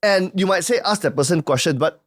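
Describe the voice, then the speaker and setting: A man hosting a casual podcast, giving his opinions in a measured, explaining way. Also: sits high, lively intonation. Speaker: podcast host and school founder.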